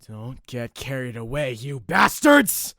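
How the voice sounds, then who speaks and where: A man's voice: medium pitch, expressive, moderate pace, no echo, clean studio sound, lightly acted. A man voicing a cocky, hot-headed young shut-in in an anime fan dub, with a brash, taunting, theatrical delivery. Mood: furious, boastful.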